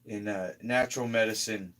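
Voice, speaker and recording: A hoarse man talking casually in a slow, rambling health vlog, often trailing off quietly. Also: on the low side, steady tone. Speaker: health vlogger.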